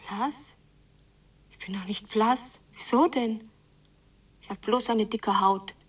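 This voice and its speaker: Breathy, yearning, hurt young girl. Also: a little high, slow pace, recorded from a distance. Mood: hurt disbelief. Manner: intense, often dropping into breathy whispering. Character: hurt, yearning girl abandoned by her father. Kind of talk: radio play inner monologue.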